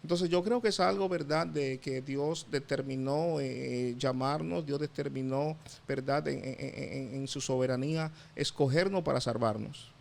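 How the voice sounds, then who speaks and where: A middle-aged Dominican man, a pastor, giving his testimony in an interview, halting often with fillers and repeated words. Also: mid-range voice, vocal fry. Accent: Dominican Spanish. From Dominican Republic.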